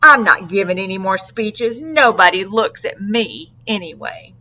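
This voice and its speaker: An earnest policeman from a children's storybook, acted by a woman. He speaks slowly and announces each safety tip with deliberate emphasis. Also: mid-range voice, expressive, pushed and bright. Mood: sulky. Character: earnest male police officer giving safety tips.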